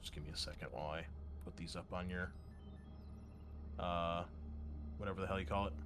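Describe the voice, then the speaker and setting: A man's voice: low voice, fairly even intonation, fairly quick, over music. A man narrating a tabletop game as game master in a casual, informal way, with some swearing. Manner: informal, profane. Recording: noticeable background noise.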